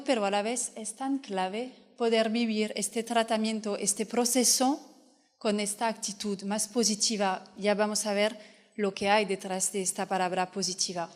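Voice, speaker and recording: A woman from France with a French accent, speaking Spanish as a non-native and giving an earnest conference talk as a fertility coach. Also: mid-range voice. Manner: earnest, explanatory, with frequent restarts. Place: hall at a fertility fair. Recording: hall reverb.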